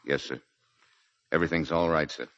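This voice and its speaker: Deep-voiced, forceful Marine major. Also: male voice, steady tone, brisk. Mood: subdued, respectful. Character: grave, steady Marine major commanding an island garrison. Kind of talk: 1940s radio drama acting. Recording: muffled 1940s radio recording.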